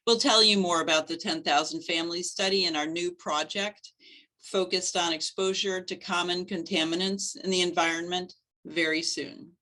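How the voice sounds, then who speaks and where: Middle-aged woman coordinator, low and bright, clear steady delivery, webcam at home. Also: moderate pace, poor audio. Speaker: study coordinator. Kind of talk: scripted presentation. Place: living room at home.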